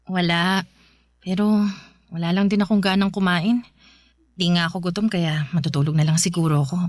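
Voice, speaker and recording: A woman's voice: fairly low pitch, fairly even intonation, moderate pace, natural voice. A woman playing a bitter, grieving young wife in a radio drama, with a snappish, emotional delivery. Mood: sullen.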